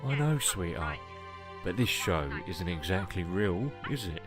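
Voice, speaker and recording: A man plays a dry sceptic in an audio drama. His voice is deep and slow, dismissive in tone yet varied in pitch. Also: big pitch swings, over music. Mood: sceptical. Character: dry, sceptical man doubting a ghost show.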